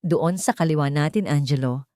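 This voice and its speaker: A woman voicing a warm, hopeful young female caregiver in a romance audiobook, tender and earnest. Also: low voice, moderate pace, dry recording, studio quality, close to an everyday voice.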